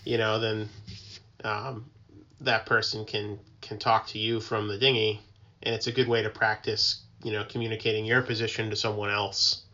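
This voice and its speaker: Low-voiced guy chatting, pretty monotone, halting casual explanations, slightly dull lo-fi audio. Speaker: tugboat mariner, podcast guest.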